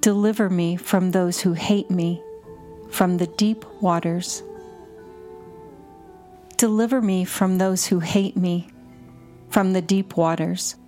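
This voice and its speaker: A woman guiding contemplative prayer, reading in short, measured and deliberate phrases. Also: on the low side, fairly even intonation, dark tone. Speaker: prayer guide and podcast host. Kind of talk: slow, repetitive guided contemplative prayer reading. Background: music under the voice.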